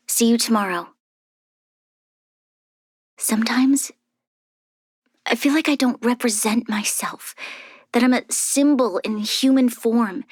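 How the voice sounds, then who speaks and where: A young heiress and military commander from a video game, recorded close and dry. Her mid-pitched voice is composed and earnest, softly breathy at times. She speaks formally and thoughtfully, with a strong sense of duty. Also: no echo. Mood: soft, introspective. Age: young adult. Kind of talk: scripted video game character voice lines.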